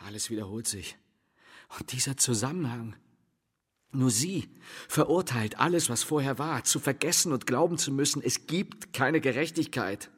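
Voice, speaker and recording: An actor playing a bitter, tormented husband in a literary radio drama, speaking in a solemn, declamatory and halting way. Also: male voice, medium pitch, animated, breathy edge. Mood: brooding. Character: bitter, brooding, fatalistic husband, resigned yet tormented. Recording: long hall reverb.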